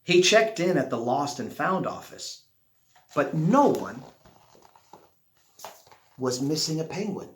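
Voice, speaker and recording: A man reads a children's storybook in an echoey room. His fairly high voice swings widely up and down. He takes his time, drawing out words for dramatic effect. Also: very animated, slow pace, pauses often. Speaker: storybook reader. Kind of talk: expressive children's picture book read-aloud.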